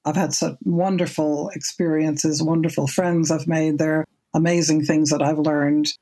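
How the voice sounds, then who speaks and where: Older lady in her 70s, low speaking voice, talks slow and thoughtful, repeats words when she restarts, podcast guest. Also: level tone, mellow. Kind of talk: casual podcast interview.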